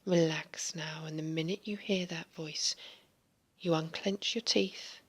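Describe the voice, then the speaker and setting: A woman guiding a hypnosis session in a slow, soothing, largely whispered voice, giving relaxation suggestions. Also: deep voice, fairly even intonation, a bit bright. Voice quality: breathy. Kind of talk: guided hypnosis recording.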